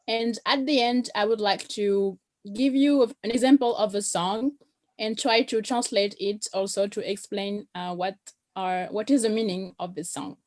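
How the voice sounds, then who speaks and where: French-native woman from Madagascar, guest presenter, mid pitch, orderly delivery. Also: fairly even intonation, normal speed. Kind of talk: presenting slides on a video call.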